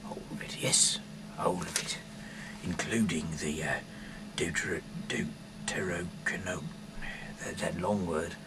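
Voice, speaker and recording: Casting: male, very deep voice, bumbling irreverent amateur reader, stumbles and digresses, noisy homemade sound. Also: steady tone, unhurried, long pauses. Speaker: amateur reader of scripture, self-styled rock singer. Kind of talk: rambling, informal reading aloud with asides. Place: at home. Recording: noisy amateur home recording.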